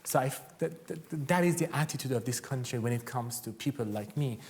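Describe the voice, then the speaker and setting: Halting Iranian-accented man, medium pitch. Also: expressive, a little mellow, a little hiss or hum. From Iran. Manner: halting, hesitant non-native English. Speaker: panellist, journalist. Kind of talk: personal account in panel discussion.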